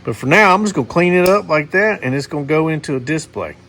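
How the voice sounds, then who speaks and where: A man's voice: on the high side, lively intonation, fast talker, a bit bright, a little hiss or hum. A man, hosting a casual knife video and rambling to his viewers with lots of fillers and restarts. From Missouri, USA. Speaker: knife channel host.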